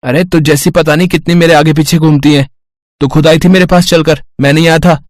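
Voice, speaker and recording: Man playing a cocky schoolboy boyfriend, higher-pitched. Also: fairly even intonation, a little fast, dry recording, clean studio sound, close to an everyday voice. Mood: scornful. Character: cocky, manipulative schoolboy boyfriend, selfish and flippant. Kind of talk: dramatized Hindi moral story acting.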